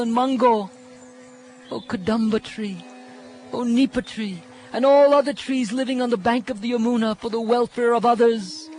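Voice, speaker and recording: A man's voice: very high-pitched, a little mellow, slight background noise, voice pushed up. A man voicing distraught, lovelorn cowherd women who plead in exclamations, in a dramatic scripture reading. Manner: imploring, exclamatory, drawn-out. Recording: music under the voice.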